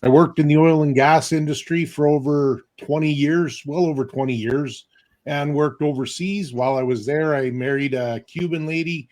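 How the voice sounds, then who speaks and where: A man from Canada, introducing himself loudly and friendly on a video call. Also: on the high side, fairly even intonation, moderate pace. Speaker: guest business partner.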